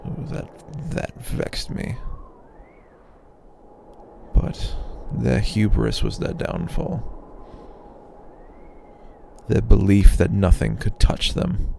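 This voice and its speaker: A man voicing an ancient, proud, weary male dragon in a possessive roleplay ASMR monologue, deep and gravelly, musing haltingly as he broods. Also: expressive, a little mellow, a little hiss or hum, full character voice. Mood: reminiscing, scornful.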